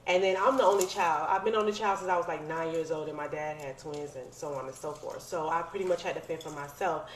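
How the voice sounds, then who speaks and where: Lower-voiced American woman in an echoey room. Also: quick pace. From United States. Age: 30s. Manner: conversational, slangy. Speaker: podcast guest. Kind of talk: casual personal storytelling. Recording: roomy echo, clear background noise.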